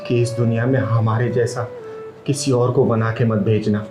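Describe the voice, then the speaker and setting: A man's voice: mid-range voice, little pitch movement, moderate pace, close to an everyday voice. A grieving transgender elder pleads for dignity, earnest and preachy, as a man acts her out. The voice sits in a middle register. The melodramatic web drama scene carries a roomy echo with faint music underneath. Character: aggrieved wise transgender elder.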